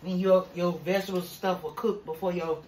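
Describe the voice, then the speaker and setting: A woman's voice: lowish voice, steady tone, brisk, a little mellow, slight background noise, low-quality recording. A woman, chatting casually to viewers as she cooks in her home kitchen, calling them y'all. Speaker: vlogger. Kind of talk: casual cook-with-me vlog. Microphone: camera held by someone else.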